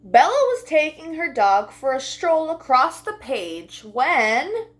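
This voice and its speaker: Expressive, high-voiced woman reading to children. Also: takes it slowly. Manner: expressive, addressing children directly. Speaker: children's storytime host. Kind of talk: storybook read-aloud and talk to young children.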